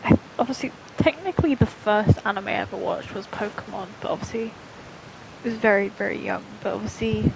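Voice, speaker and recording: A woman's voice: medium pitch, brisk, vocal fry, slight background noise, poor audio. A woman chatting casually as she live-streams a game, in a rambling, repetitive way. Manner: rambling, repeats herself. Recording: faint game music behind.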